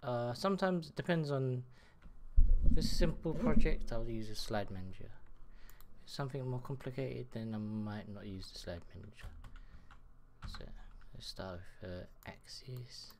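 A man in his thirties giving a casual live software tutorial from his desk, speaking through a headset and thinking aloud as he works. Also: medium pitch, lively intonation, background noise. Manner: slow, halting, muttering while working. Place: small room at a computer desk.

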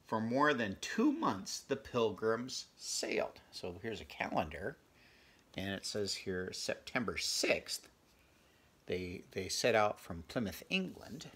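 A man in his fifties with a gravelly voice reads a picture book aloud, adding chatty, conversational asides for young listeners. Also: fairly low pitch, sing-song, slow pace, fairly bright tone. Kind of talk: children's read-aloud with casual asides. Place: small furnished bedroom, indoors.

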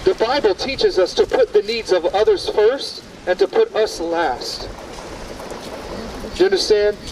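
A man's voice: high-pitched, huge pitch swings, unhurried, creaky, a little mellow. A man in his thirties preaching earnestly at a busy outdoor bus stop, pleading directly with passers-by. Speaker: street preacher. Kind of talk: open-air street preaching. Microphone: far camera, no visible mic. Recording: distant outdoor camera audio with street noise.

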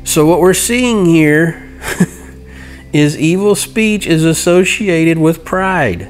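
A middle-aged man teaching from scripture in an earnest, halting way, reading passages and explaining them. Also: a little high, soft background music. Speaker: Bible teacher. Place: indoor room by a large window. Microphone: lapel mic.

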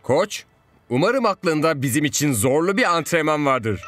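An actor playing an eager, easily amazed young footballer in a cartoon dub, expressive and excitable. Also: male voice, a little high, moderate pace, soft background music. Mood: suspicious. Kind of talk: Turkish animated cartoon dub.

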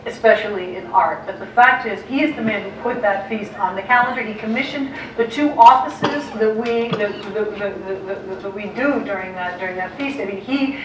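An American woman art historian giving a lively illustrated lecture to clergy, with quick asides and acted-out quotes, heard from a distance in a large auditorium. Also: medium pitch. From United States. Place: large dim auditorium with projector screen. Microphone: distant room mic, speaker at lectern far from camera. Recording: echoey hall from far off, faint hiss.

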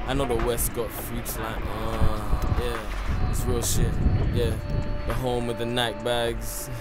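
A man rapping a repetitive chanted hook. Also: mid-range voice. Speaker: rapper. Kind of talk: rap performance. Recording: loud hip hop backing track.

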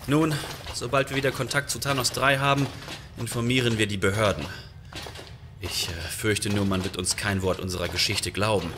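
A man playing a commanding, weary spaceship captain in a sci-fi horror radio drama, speaking deliberately. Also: lowish voice, fairly even intonation, lightly acted. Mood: sober, concerned. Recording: clearly noisy background ambience.

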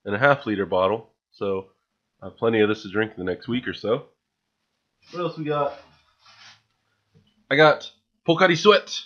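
A young man talks into a desk microphone in a small room at home, chatty and keen, with the odd restart. His voice sits on the lower side. The recording is dull and lo-fi. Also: fairly bright tone. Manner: chatty, enthusiastic, with frequent filler restarts. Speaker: vlogger. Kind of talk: casual grocery haul vlog. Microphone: studio mic on a stand, wearing headphones.

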